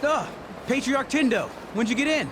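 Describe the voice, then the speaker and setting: A man's voice: extremely high pitch, expressive, normal speed. An actor playing a cheeky, ingratiating civilian man in a video game cutscene. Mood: cheeky, teasing. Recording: urban outdoor noise behind.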